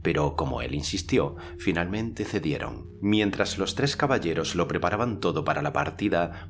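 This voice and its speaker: A man narrating an audiobook in a measured, expressive way. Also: sits low, slight background noise. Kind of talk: audiobook narration with character voices.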